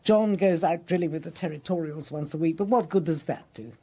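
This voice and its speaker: A woman's voice: low voice, fairly quick, warm tone, slightly rough. Grand, effusive older English lady. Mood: indignant. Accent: upper-class English. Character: genteel, imperious older lady of an English country house. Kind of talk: radio drama acting.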